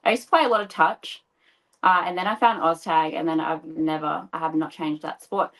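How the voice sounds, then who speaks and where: Lowish-voiced woman in her thirties. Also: steady tone, normal speed, fairly bright tone, poor audio. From Australia. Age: 30s. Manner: chatty, laughs often, explains patiently. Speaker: podcast guest. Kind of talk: casual interview chat.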